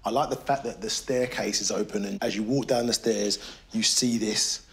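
A man's voice: lowish voice, steady tone, moderate pace, slightly rough. A man co-presenting a renovation show, chatting on site in an encouraging, upbeat way. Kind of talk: casual on-site chat.

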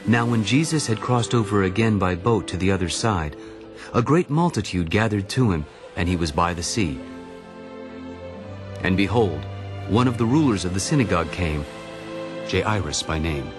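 A man narrating a dramatized audio Bible in a solemn, deliberate storytelling manner. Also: sits low, lively intonation, over music.

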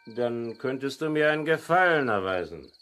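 An actor playing a jovial, teasing, patronising uncle in a 1970s German children's radio play. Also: male voice, medium pitch, lively intonation, moderate pace, a bit bright, natural voice.

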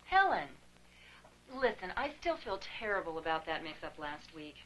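A woman's voice: fairly low pitch, sing-song, moderate pace, fairly bright tone, slight background noise, low-quality recording. An actress in her thirties playing an earnest, businesslike saleswoman in a 1980s training film. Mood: apologetic, eager. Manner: businesslike, eager to please. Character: earnest, persuasive young businesswoman in phone sales. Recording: 1980s film soundtrack, faint music.

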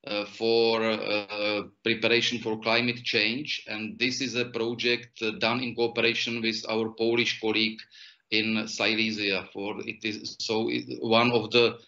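Older man, flat bright halting voice. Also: on the low side. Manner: hesitant, many fillers. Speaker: regional official. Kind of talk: prepared remarks on a video call. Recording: muffled video call audio.

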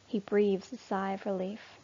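A woman reading a children's storybook aloud expressively, with deliberate emphasis. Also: mid-range voice, level tone, warm tone, highs cut off. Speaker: storybook reader.

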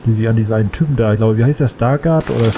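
A man's voice: medium pitch, very fast, background noise. A man who has a cold, chatting casually and thinking aloud as he plays a game. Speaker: let's play gamer. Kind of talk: casual gameplay commentary.

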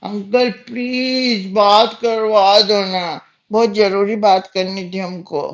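A man's voice: high voice, fairly even intonation, slow, dull audio. A man voicing an insolent young boy who makes taunting, mock-polite prank calls in a comedy sketch. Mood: mock-pleading.

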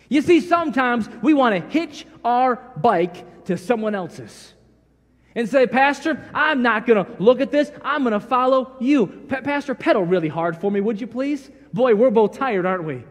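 Middle-aged male preacher, high-pitched, animated and homespun, sermon with long church reverb. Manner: folksy, animated storytelling, acts out dialogue. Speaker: pastor. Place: large church sanctuary.

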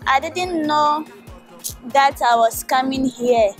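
A young woman from Ghana speaks slowly and carefully, sometimes restarting a word. Her voice is somewhat high, and she is recorded outdoors with gentle music underneath. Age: young adult. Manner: slow and careful, some restarts. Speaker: high school graduate. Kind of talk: casual testimonial. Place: outdoors by a stone wall.

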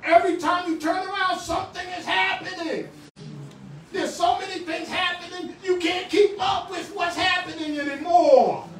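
A middle-aged man preaching a sermon in a small church, swinging from shouts to quiet talk with many restarts. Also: very high-pitched, steady tone, normal speed, slight background noise. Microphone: far camera. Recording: echoey room, far from the camera.

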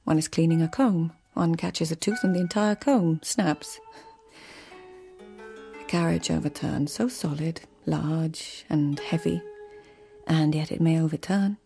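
Clever Japanese court lady, radio drama, lyrical and poised, with a creaky low edge. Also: measured pace, mellow, faint music behind. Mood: lyrical, reflective. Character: witty, well-read lady of the Japanese imperial court. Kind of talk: radio drama acting and literary narration.

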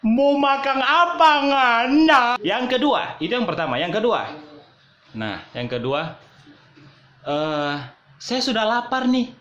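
A young man from Manado hosting a playful comedy vlog, talking in Jakarta slang with quick laughs and sing-song repeats, filmed on a phone at arm's length in a small bedroom. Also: very high-pitched, very animated, fairly bright tone, highs cut off. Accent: Manado-born, using Jakarta slang. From Manado, Indonesia. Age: young adult. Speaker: vlogger host. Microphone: phone camera at arm's length. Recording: echoey room phone recording.

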